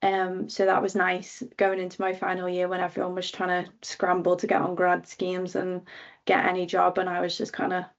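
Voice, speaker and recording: Scouse young woman, gravelly flat talk. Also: on the low side, normal speed, muffled recording. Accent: Liverpool. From Liverpool, England. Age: 20s. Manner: chatty, many ums and likes. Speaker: careers talk speaker, government analyst. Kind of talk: casual career talk.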